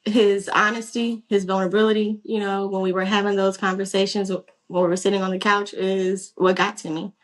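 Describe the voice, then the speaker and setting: Woman, mid pitch, relaxed guest, easygoing answers. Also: fairly quick, hardly any pauses, rough recording. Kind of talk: casual interview answers.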